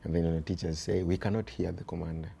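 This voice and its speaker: A man with a Kenyan accent and a very deep voice, talking earnestly as an interview guest about raising his sons, often dropping "you see" and shifting into Swahili. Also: flat delivery, fast, creaky, mellow, slight background noise. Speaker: guest, father of boys. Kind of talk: reflective conversational interview.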